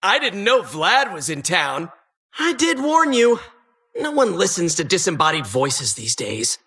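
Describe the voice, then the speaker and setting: An actress playing a gleefully sinister, disembodied dungeon host in a video game, mock-cheerful, teasing and theatrical. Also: female voice, fairly low pitch, sing-song, a little slow, a bit bright, full character voice. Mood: dry, sardonic. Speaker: game announcer.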